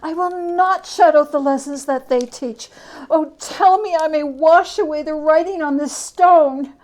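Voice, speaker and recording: A woman's voice: sits high, measured pace, a little mellow. A woman playing a repentant old miser man in a dramatic storybook reading, stammering and exclaiming with overwrought emotion. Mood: pleading, desperate. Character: repentant old miser man, pleading then giddy with joy.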